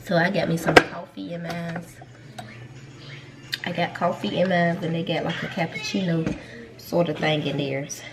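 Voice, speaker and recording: Gravelly Southern mom vlogging at home. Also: female voice, lowish voice, little pitch movement, moderate pace, background noise. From North Carolina. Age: young adult. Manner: rambling, repeats herself, talks to viewers as y'all. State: stuffy nose. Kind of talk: casual vlog chat. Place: home kitchen.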